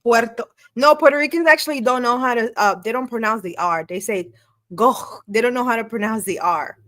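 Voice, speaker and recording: Bright, high-voiced teasing woman. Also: a little fast. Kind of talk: casual chat.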